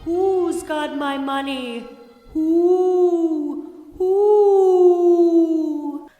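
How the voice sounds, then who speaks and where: A woman's voice: very high-pitched, mellow, reverberant, long reverb tail, faint music behind, voice pushed up, heavily acted. A wailing, blind ghost woman. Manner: slow, drawn-out wailing cries.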